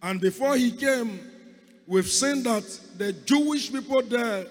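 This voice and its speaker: Hoarse man preaching in a reverberant hall. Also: very high voice, measured pace. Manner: fervent, repeats calls to God. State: hoarse, lost voice. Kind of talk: sermon with opening prayer. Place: church hall.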